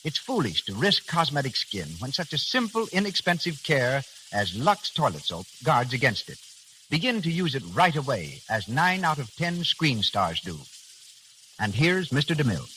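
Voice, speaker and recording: A male American announcer delivers scripted 1930s radio copy. His voice is bright and highly animated, with faint crackle and hiss on the recording. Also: normal speed.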